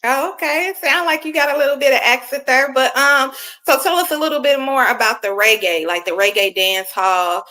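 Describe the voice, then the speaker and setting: Bright-toned woman interviewer, chatty. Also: sits high, steady tone, brisk, hardly any pauses. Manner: chatty, filler-heavy questions. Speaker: interviewer host. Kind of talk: casual interview.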